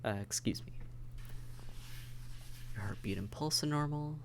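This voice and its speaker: A man's voice: on the high side, unhurried, gravelly, slight background noise. A man playing a weary vampire intake officer in an audio roleplay, matter-of-fact and bureaucratic, with dry hesitation, speaking straight to the listener. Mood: clinical, matter-of-fact. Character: weary, businesslike male vampire intake officer mentoring newcomers. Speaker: medical examiner and caseworker.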